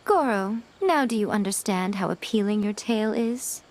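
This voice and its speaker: A woman voicing an elegant, cryptic fox woman in a video game, with a playful, teasing, unhurried manner. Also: medium pitch, expressive, darkish tone, a little hiss or hum, close to an everyday voice. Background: music.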